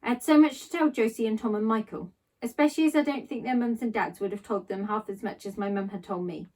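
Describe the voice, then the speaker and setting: A woman with a fairly high voice reads to children as a teacher would. Her delivery is expressive storytelling, recorded from a little distance off the microphone. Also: fast. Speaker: teacher reading aloud to pupils.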